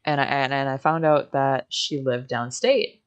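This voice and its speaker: Young American streamer, mid-pitched voice, casual and rambling, doubles back on phrases mid-story. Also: steady tone, measured pace, smooth. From Michigan, United States. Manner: rambling, backtracking with many repeated phrases. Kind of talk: casual chat on a livestream.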